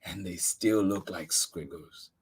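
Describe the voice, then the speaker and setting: Storybook heroine of great age read by a man, unhurried, plaintive, stubbornly determined. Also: sits low, husky, voice pushed down, heavily acted. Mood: weary. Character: very old woman, frustrated yet determined storybook heroine.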